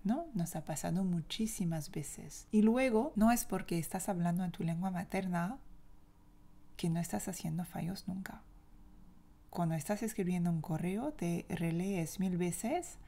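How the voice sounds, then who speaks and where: French-accented woman teacher doing a podcast, lowish pitch, thoughtful, says what she thinks. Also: a little slow, warm tone. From France. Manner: reflective, opinionated, conversational. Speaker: language teacher and podcast host. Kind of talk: solo podcast monologue.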